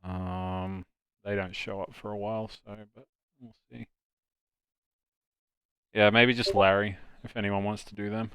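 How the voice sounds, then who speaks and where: A man's voice: on the low side, flat delivery, unhurried, pauses often, fairly bright tone, clear, resonant tone, no room sound. A man hosting a live stream, chatting casually and joking with friends. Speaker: host of a live dubbing stream.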